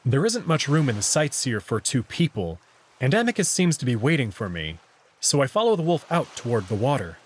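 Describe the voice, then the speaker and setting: A man's voice: medium pitch, very animated, a little fast, slightly rough. A man reading first-person story narration aloud in a scripted visual novel style.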